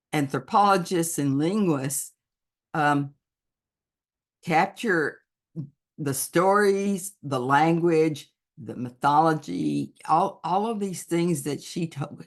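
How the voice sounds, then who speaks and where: A woman who writes picture books, giving an online presentation to teachers in a thoughtful, explanatory way. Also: deep voice.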